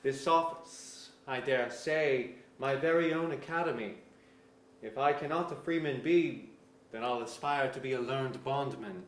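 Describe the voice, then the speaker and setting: A man's voice: medium pitch, a little slow, natural voice. A man playing a bookish, resentful captive scholar in an archaic verse drama, formal and wry. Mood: wry resentment. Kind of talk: staged reading of pseudo-Elizabethan verse drama. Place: museum auditorium, live reading. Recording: echoey auditorium.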